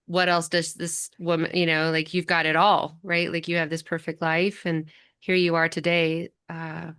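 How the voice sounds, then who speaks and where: A woman hosting a stage conversation who talks haltingly and reflectively, with restarts. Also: deep voice, little pitch movement, a bit bright, clean tone. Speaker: event host.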